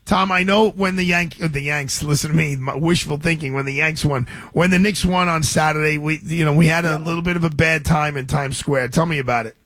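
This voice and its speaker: A man's voice: fairly high pitch, fairly quick, hardly any pauses, no room sound. Chatty man host, dry studio sound. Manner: energetic, chatty, stumbling restarts. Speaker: radio show host. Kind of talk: casual radio talk.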